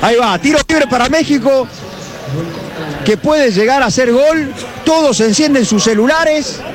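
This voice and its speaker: A high-voiced Argentine man works as a roving reporter out in the street. He chats informally with fans and keeps adding little tag questions, with crowd and city noise clearly behind him. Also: measured pace. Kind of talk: live street reporting and fan interviews. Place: outdoors among football fans.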